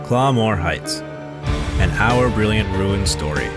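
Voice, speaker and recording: Deep, measured male announcer over loud music. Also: lively intonation. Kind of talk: scripted drama title announcement.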